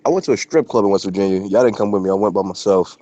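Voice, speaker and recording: Low-voiced, laid-back man. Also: rapid-fire, warm tone, no echo, lo-fi sound. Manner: laid-back, swearing, amused storytelling. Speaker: podcast co-host. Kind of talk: casual chat.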